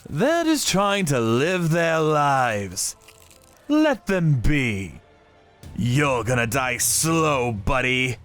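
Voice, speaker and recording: A man's voice: mid-range voice, big pitch swings, extremely slow, a bit bright, faint music behind, exaggerated voice. A man voicing a cold, mocking torturer in a cartoon story, drawing out his menacing taunts.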